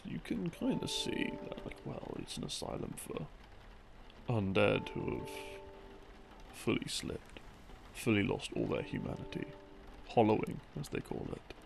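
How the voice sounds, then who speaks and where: A man giving a halting, unhurried sleep-aid ramble, explaining things from memory and trailing off as he muses. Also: lowish voice, gravelly, background noise. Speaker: audio creator playing a rambling boyfriend. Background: soft background music.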